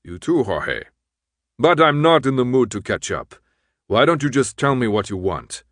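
A gruff, gravelly gray-haired man, acted by a male audiobook narrator. He is curt and scornful, keeps people at a distance and has no patience for them. The recording is dry and close. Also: mid-range voice, very animated, normal speed, fairly bright tone, no echo. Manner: curt, dismissive.

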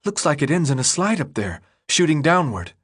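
A steady, supportive teenage girl, pitched well up and bright, as a male narrator puts her on. She speaks with an easy lilt in an audiobook reading. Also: a little fast, breathy edge. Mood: matter-of-fact.

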